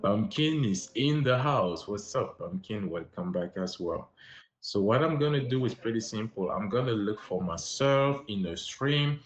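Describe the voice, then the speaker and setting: A man's voice: lowish voice, expressive, measured pace. Upbeat man hosting a livestream lesson. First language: French. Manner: upbeat, greets and thanks viewers by name. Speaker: language teacher and livestream host. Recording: roomy livestream with music behind.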